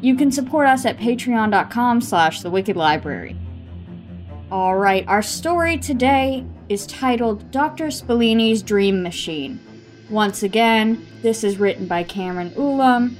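A woman's voice: on the high side, lively intonation, a little slow. A woman hosting a podcast, reading a scripted introduction. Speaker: podcast host. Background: music.

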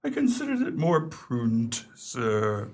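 An actor playing an unflappable, discreet English valet in a radio play, courteous, measured and deferential. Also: male voice, mid-range voice, huge pitch swings, a little mellow, full character voice. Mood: composed, deferential.

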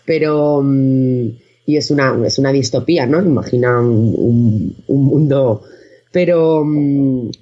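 A man's voice: medium pitch, slow pace, muffled recording. A man from chatting casually as an interview guest, hesitant and often correcting himself. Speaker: guest, audio fiction director.

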